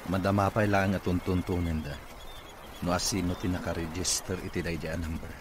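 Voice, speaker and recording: An actor with an Ilocano accent playing an earnest, grateful boss who is a recovering patient, in a radio drama. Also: male voice, sits low, little pitch movement, brisk, close to an everyday voice. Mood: serious. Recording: noisy radio drama recording.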